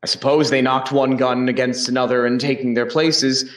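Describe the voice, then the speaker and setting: A man's voice: medium pitch, flat delivery, moderate pace, close to an everyday voice. Man playing a colonial trial witness, distant and echoey. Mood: matter-of-fact. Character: colonial Boston eyewitness, man, testifying at murder trial. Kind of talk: historical courtroom reenactment. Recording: roomy echo, distant microphone.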